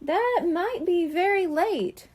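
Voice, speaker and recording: A woman's voice: extremely high pitch, animated, slow, a little mellow, voice pushed up, full character voice. A woman voicing a practical, cautious mother cat in a children's storybook.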